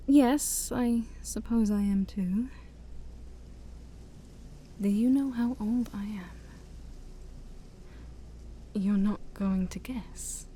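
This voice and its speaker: A woman playing an ancient demon princess, haughty yet shy, in a soft-spoken ASMR roleplay that often drops to a whisper. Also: medium pitch, a little hiss or hum. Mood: bemused, flustered. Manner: formal, teasing, hesitant, sighing.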